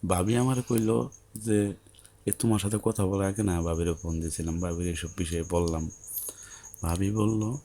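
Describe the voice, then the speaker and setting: A man's voice: deep voice, normal speed, pauses often, dark tone, a little hiss or hum. A man playing a patient, coaxing young newlywed husband in an audio story, with a Bangladeshi accent and a soothing, colloquial way of explaining. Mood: patiently explaining.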